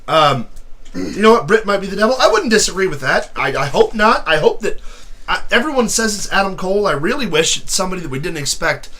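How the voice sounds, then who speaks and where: Host, male, high bright voice, losing his voice, ranting chat. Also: sing-song, quick pace. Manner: ranting, swearing, shouting in bursts. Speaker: wrestling podcast host. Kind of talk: casual chat.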